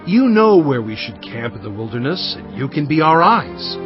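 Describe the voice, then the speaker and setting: Impassioned biblical leader, pleading and emphatic, highly animated, over music in dull audio. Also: male voice, moderate pace. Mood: earnest, pleading. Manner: impassioned, declamatory, emphatic delivery. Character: Moses, anguished, pleading male prophet and leader. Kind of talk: dramatized audio Bible acting.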